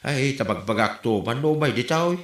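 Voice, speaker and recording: A man's voice: medium pitch, lively intonation, moderate pace, close to an everyday voice. Man acting a grumbling village gossip, echoey room. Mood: gossipy, grumbling. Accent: northern Philippine. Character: blunt, gossipy villager grumbling about a neighbour. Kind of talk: comedy audio drama acting. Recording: roomy, echoey voice recording.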